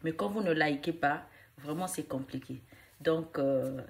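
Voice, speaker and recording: A woman's voice: sits low, measured pace. A woman with a cold and a cough, casually demonstrating a hair care recipe at home and rambling with many fillers.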